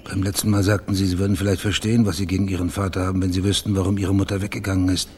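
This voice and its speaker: An actor playing a probing, quietly insistent private investigator in a German radio crime drama, speaking in a measured, matter-of-fact way. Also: male voice, low-pitched, level tone, slight background noise, natural voice. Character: probing, plain-spoken male private investigator named Max, quietly insistent.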